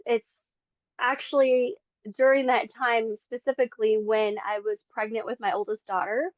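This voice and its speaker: A woman's voice: on the high side, no room sound, dull audio. Earnest woman from the Philippines. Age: 40s. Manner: earnest, chatty, many fillers. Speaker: podcast guest. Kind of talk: casual faith testimony. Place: home in Las Vegas.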